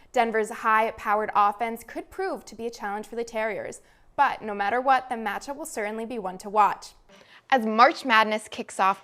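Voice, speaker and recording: A young adult woman working as a student sports anchor, reading scripted sports news in a measured, presentational newsreader delivery. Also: a little high, a bit bright.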